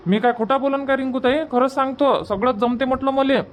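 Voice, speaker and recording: A man acts out an eager village man from a cartoon comedy. His voice sits very high for a man, and he talks in colloquial Varhadi Marathi, teasing and pressing his point. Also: fairly even intonation, brisk, slight background noise. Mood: eager persuasion.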